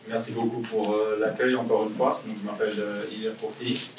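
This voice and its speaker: Flat, low-voiced young Swiss French man. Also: a little fast. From Geneva. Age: 20s. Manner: polite, a few hesitations. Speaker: election candidate, teacher. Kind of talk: self-introduction. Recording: echoey hall, distant mic, faint noise.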